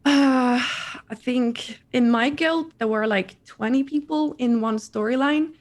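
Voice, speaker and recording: A woman in her thirties from the Netherlands with a Dutch accent, chatting as an interview guest, lively and repeating her words. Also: sits high, a little slow. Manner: animated, repeats words, laughs often. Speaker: guest streamer. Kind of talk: casual interview chat.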